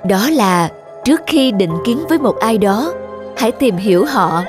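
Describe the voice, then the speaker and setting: A woman's voice: fairly high pitch, lively intonation, measured pace. Mother-narrator: thoughtful storybook delivery for cartoon, music bed. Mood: reflective. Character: reflective mother narrating a moral tale. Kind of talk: scripted narration.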